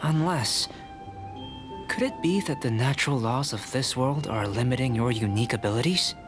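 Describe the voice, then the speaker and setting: Man voicing a calm, measured young alchemist. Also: medium pitch, lightly acted. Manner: composed, measured, gently teasing. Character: calm young male alchemist, polite and dryly curious. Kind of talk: game voice acting. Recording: studio game audio with music behind. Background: clear music.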